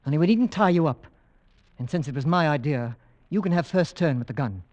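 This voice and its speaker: A man acting a brisk, self-assured gentleman hunter in an old radio drama, on a vintage recording. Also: a little high, animated, lo-fi sound, lightly acted. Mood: urgent, decisive. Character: brisk, decisive gentleman hunter, coolly commanding husband.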